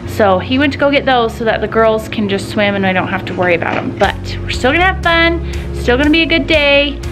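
A woman in her thirties vlogs her family's day in a high, upbeat voice. She talks straight to viewers from a camera held at arm's length, with music playing behind her. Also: animated, fairly quick, rough recording. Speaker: family vlogger, mom. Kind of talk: casual vlog chat to camera. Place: home, car and backyard pool. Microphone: handheld camera at arm's length.

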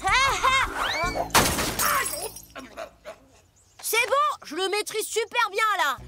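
A woman voicing a boastful, excitable little boy who plays superhero in a cartoon, grandiose and theatrical. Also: extremely high pitch, slow pace, exaggerated voice. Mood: cocky. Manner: grandiose, self-important, theatrical. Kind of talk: animated cartoon acting. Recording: music behind the voice.

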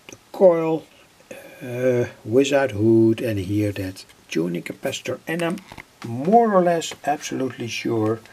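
A man explaining a homemade electronic circuit informally, in a halting, deliberate way with pauses. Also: mid-range voice, gravelly, a little mellow, husky. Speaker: electronics hobbyist presenter.

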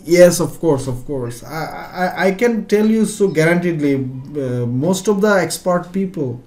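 Tutor, middle-aged man, patient and methodical, a bit high-pitched. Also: lively intonation, measured pace, darkish tone. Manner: patient, explaining step by step, non-native phrasing. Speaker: computer tutor. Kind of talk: one-on-one software lesson.

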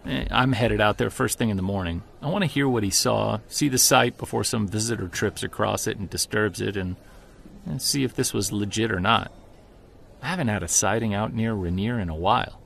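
An actor playing a driven, weary Bigfoot investigator in an audio drama, earnest and conversational. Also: male voice, lowish voice, a little fast, creaky, fairly bright tone, slight background noise. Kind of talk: audio drama, first-person narration and dialogue.

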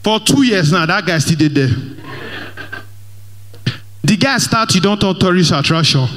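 A young Nigerian Pidgin-accented man doing stand-up comedy on a church stage, slightly high-voiced and lively. He mixes Pidgin with English and talks straight to the crowd through a handheld mic. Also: measured pace. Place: large church hall stage. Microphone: handheld microphone.

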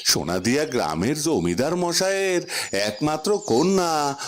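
Slow, animated village town crier played by a man. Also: a little high, faint music behind. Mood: proclaiming. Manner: declamatory. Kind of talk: drawn-out public proclamation in a cartoon.

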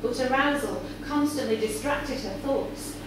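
A woman's voice: high-pitched, measured pace. A woman reading a story aloud to a live audience in an indoor cafe bar, recorded from a far camera. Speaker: reader at a live literary event. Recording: echoey noisy room, far from microphone. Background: audience ambience and background noise.

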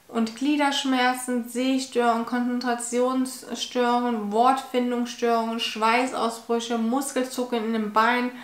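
A woman in her forties talking to camera at home in a personal vlog, nasal from a cold, tired and halting with restarts. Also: fairly high pitch. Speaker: vlogger. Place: home living room, indoors. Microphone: fixed camera at medium distance. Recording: echoey room.

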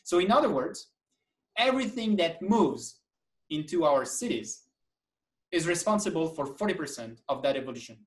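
A man gives an enthusiastic slide presentation over a video call, with lively emphasis and occasional restarts. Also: a little high, normal speed. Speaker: conference speaker. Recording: echoey room, distant mic.

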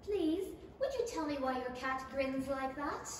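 A woman's voice: high-pitched, expressive, a little slow. A woman playing a polite, earnest, easily startled young girl in a theatrical radio play style. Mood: curious. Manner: exclaiming, theatrical, prim. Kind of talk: 1930s radio play acting. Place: small indoor theatre stage, camera at a distance. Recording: very echoey hall from far off, noisy.

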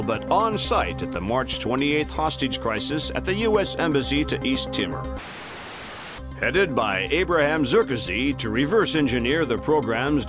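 A man playing a news anchor, reading a scripted military report in a formal, measured manner. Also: mid-range voice, close to an everyday voice. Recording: loud music over the voice.

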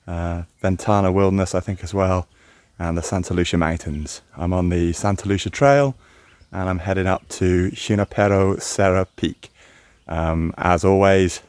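A man in his thirties talking casually to a handheld phone outdoors on a mountain trail, relaxed and rambling with plenty of ums and uhs. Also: low-pitched, no echo. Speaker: hiking vlogger. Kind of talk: casual vlog narration. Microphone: phone at arm's length.

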